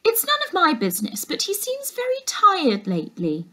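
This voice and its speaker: A woman's voice: very high voice, huge pitch swings, moderate pace, a bit bright, voice pushed up, full character voice. A woman voicing a nosy, falsely sweet middle-aged housekeeper from a children's novel, wheedling and insinuating. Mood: feigned concern, prying.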